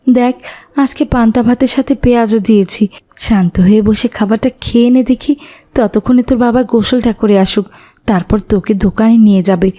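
A woman voicing a caring, gently scolding village mother in an animated cartoon. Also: on the high side, brisk, natural voice. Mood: coaxing.

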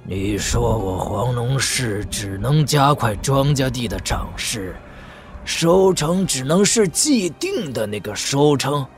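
A man playing an eccentric, cynical old sage in a wuxia audio drama, with a creaky voice and theatrical, mocking delivery. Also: mid-range voice, big pitch swings, slow pace, over music. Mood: challenging. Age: older.

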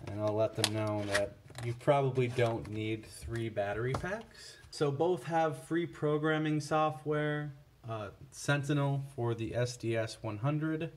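A man with a mid-pitched voice walks through a product comparison at a slow pace. His delivery is casual and unscripted, a little halting, and matter-of-fact, like a seller showing gear on a tabletop. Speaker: product reviewer for a scanner seller.

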